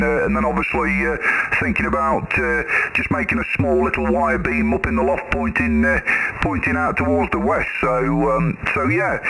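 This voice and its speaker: A man's voice: mid-range voice, gravelly, bright, forceful tone, poor audio. A man chatting casually and in a rambling way as an amateur radio operator over the air. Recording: faint radio hiss and clicks.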